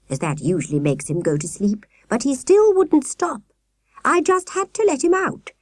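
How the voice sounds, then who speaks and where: Woman playing a high, fluttery, genteel lady hostess. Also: a little mellow, no echo. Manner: fluttery, drawn-out, effusive. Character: genteel, fussy lady hostess doting on her parrot. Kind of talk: audiobook character voice.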